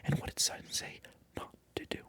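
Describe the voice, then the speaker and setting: A man whispering through a comedic ASMR role-play, mock-indignant and repeating his phrases over and over. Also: brisk. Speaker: ASMR creator.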